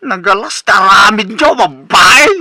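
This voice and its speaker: A man's voice: high-pitched, expressive, slow pace, over music, exaggerated voice. A Filipino man playing an irritable young bachelor son in a comedic radio drama, curt and scolding. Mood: exasperated.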